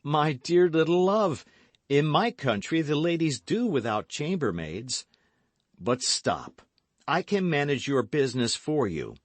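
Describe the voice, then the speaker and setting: A man voicing an eager, brash young gentleman in an audiobook, speaking with emphatic, commanding and affectionate delivery. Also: fairly high pitch, sing-song, a little slow, dry recording, pitched above the performer's own voice. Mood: urgent, affectionate. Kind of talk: audiobook character acting.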